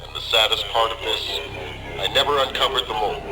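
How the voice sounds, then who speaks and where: An actor playing a remorseful agency chief, giving a solemn, measured monologue in a video game. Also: male voice, on the low side, expressive, close to an everyday voice, poor audio. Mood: regretful, grave. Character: remorseful male agency chief confessing secrets. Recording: music underneath.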